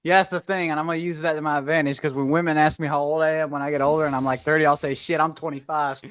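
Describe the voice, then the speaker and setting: A young man in his twenties banters on a podcast, joking and boastful. He talks quickly with a slightly high, bright, forward voice, recorded dry and close. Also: level tone, clear, resonant tone, dry recording. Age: 20s. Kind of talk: casual banter.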